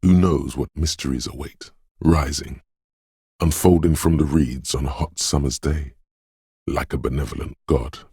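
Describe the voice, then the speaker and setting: A man's voice: fairly even intonation, normal speed, dark tone, dry recording, studio quality, heavily acted. A man voicing a dreamy, mystical inner voice of intuition in a video game, narrating in a brooding, theatrical and intimate way. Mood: hushed, dreamy. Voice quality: very deep, gravelly, husky. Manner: brooding, theatrical, addressing the listener as you.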